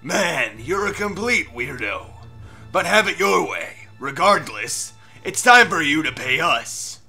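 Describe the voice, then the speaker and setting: A man voicing a smug, menacing high-school bully in an anime fandub, with mock politeness. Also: very animated, slow pace, vocal fry, sharp tone, soft background music, heavily acted. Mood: smug threatening.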